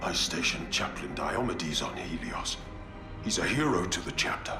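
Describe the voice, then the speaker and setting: A stern, honorable space marine commander speaks in a formal, grave tone. His mid voice sounds echoey, with loud music under it. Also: low voice, expressive, normal speed. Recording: echoey game audio with music.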